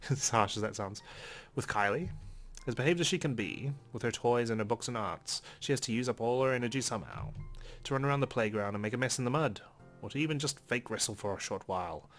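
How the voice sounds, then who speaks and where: A man's voice: medium pitch, a bit bright. A man hosting a let's play, reading a visual novel aloud as narration with casual commentary of his own. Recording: faint game music behind. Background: game music.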